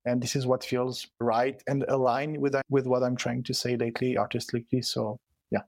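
A man with a Swiss French accent, French and Italian his first languages, chats casually in English. He talks with frequent fillers and restarts while he looks for the right words. His voice sits mid-pitch and is recorded dry and close. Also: flat delivery, moderate pace, creaky, dry recording. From Switzerland. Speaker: artist guest. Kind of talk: casual conversation.

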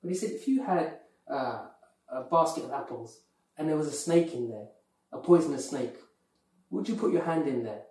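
Earnest male co-host from the UK, a bit higher-pitched, recorded distant in a very reverberant room. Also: expressive, moderate pace, warm tone. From UK, of Pakistani heritage. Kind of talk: earnest conversational chat. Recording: echoey room, distant from mic.

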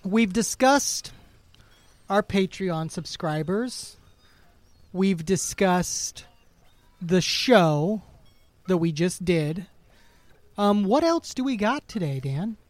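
A man's voice: sits high, expressive, slow, pauses often, no echo. A man co-hosting a podcast, chatting casually in a playful, musing way. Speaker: podcast co-host. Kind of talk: casual banter.

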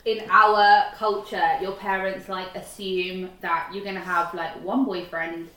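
A woman's voice: mid-range voice, flat delivery, measured pace, pushed and bright. A woman in her late twenties chatting as a guest, rambling with lots of fillers as she explains her views. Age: 20s. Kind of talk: casual chat. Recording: roomy, from a distance.

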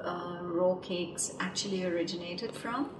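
A woman's voice: fairly low pitch, steady tone, a little slow, noisy background. A woman podcast host asking long, rambling interview questions full of fillers.

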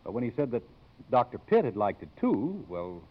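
An actor playing a mild, hesitant, conciliatory college president and suitor in a 1950s radio drama. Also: male voice, mid-range voice, big pitch swings, moderate pace. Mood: apologetic, placating. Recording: dull vintage 1950s radio broadcast.

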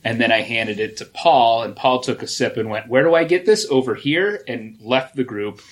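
A man with an ordinary mid-range voice shares stories on a podcast. He speaks in an easygoing, casual way, getting animated as the anecdote builds. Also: medium pitch, normal speed. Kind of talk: casual storytelling.

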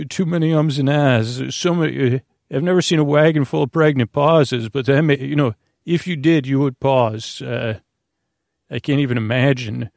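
A man with a creaky, fry-edged voice in a dry, close recording. He hosts a bedtime podcast, wandering through a rambling monologue. His delivery is hesitant, with stammered repeats and sentences that trail into tangents. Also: mid-range voice, moderate pace, darkish tone, no room sound. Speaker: host of a bedtime sleep podcast.

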